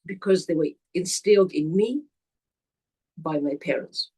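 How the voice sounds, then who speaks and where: Older woman, deliberate reflective guest with a breathy edge. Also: on the low side, dark tone. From Zambia. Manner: slow, deliberate, with pauses and restarts. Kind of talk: reflective interview answer.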